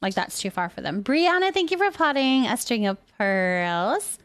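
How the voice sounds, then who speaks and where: A woman's voice: a little high, expressive, normal speed, clean tone. A woman livestreamer chatting casually and ramblingly with her viewers in a chatty, giggly manner. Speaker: live streamer. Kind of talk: casual livestream chat, reading and answering viewers.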